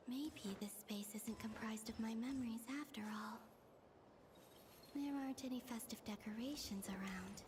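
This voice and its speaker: A woman voicing a soft-spoken, puzzled young woman in a fantasy video game, often musing to herself. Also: on the high side, noisy background, natural voice. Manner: musing aloud to herself in hushed, breathy wondering. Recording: game music behind the voice.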